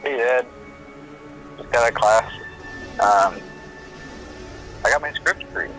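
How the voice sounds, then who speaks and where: A man's voice: mid-range voice, slow, bright, forceful tone, faint music behind, poor audio. A young man playing an earnest college-student son, leaving a hesitant, understated voicemail in an audio drama. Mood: pleased. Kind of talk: casual voicemail in audio fiction.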